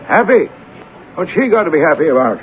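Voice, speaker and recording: A man's voice: a little high, very animated, fairly quick. An actor playing a fussy, stingy, pompous male superior in a radio drama, stammering and dithering with disdain. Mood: puzzled, disdainful. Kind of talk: 1970s radio drama acting. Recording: tinny, noisy old radio recording.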